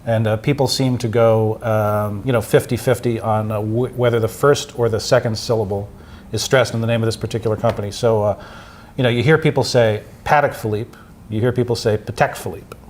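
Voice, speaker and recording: A man's voice: fairly low pitch, steady tone, fairly quick, slight background noise. A man hosting a casual live chat, talking in a rambling way with many fillers and restarts. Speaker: host.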